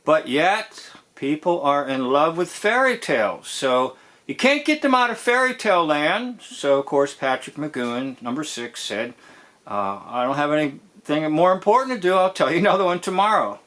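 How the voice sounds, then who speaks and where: A man giving informal commentary on a TV episode as host, in a deliberate, drawn-out way that dwells on key phrases. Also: on the high side, animated, a bit bright.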